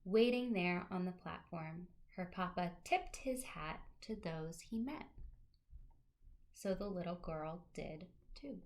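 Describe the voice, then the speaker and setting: A woman teacher reading a picture book aloud to young children, with deliberate phrasing and chatty asides to her listeners. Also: fairly low pitch, gravelly, darkish tone.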